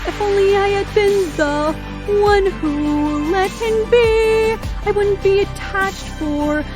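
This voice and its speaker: A woman's voice: very high voice, takes it slowly, music in the background. A woman singing a yearning, regretful musical ballad as a lovelorn pony princess.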